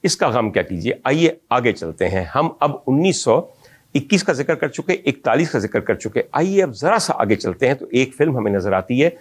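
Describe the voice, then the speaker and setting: Man, mid-pitched voice, ornate storytelling, reminiscing host. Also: very animated, fairly quick. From Pakistan. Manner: reminiscing, ornate Urdu phrasing, addresses audience directly. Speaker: film music historian host. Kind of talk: storytelling music history talk.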